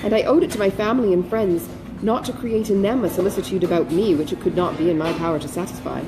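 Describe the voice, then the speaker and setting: A woman voicing a self-controlled, dutiful elder sister in an audiobook, earnest, measured and restrained. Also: on the low side, noisy background, close to an everyday voice. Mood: composed, earnest.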